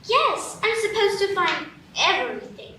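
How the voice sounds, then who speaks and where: A woman's voice: very high-pitched, big pitch swings, measured pace, bright, forceful tone, heavily acted. A woman voicing a polite, earnest little girl in a live radio play, speaking in a prim, proper way. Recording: roomy stage from a distance, faint hiss.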